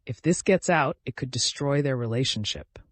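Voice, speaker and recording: A man voicing a vengeful, calculating woman in an audiobook, deliberate and accusatory, with bitterly controlled grief. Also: medium pitch, no room sound, lightly acted. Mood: cold menace. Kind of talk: dramatic audiobook character reading.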